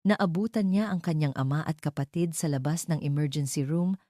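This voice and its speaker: A woman's voice: sits low, normal speed, mellow, dry recording, clean studio sound. A woman reading a scripted romantic drama as an audio story narrator.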